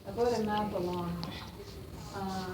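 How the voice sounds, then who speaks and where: An older Austrian woman with German as her first language talks to students, pausing often with fillers and restarting her sentences. She sounds set back from the mic, in an echoey room with steady noise around her. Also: mid-range voice. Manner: halting, many fillers and restarts. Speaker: guest speaker to students. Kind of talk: classroom talk. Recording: roomy, distant, noisy room.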